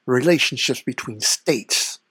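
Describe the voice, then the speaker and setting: Performer as the devil, very raspy and breathy, measured, cryptic, aloof, dry close sound, comedy podcast character. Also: medium pitch, a bit bright, no echo. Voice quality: husky, breathy rasp. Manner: slow, measured, enigmatic pronouncements. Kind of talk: character interview in audio comedy.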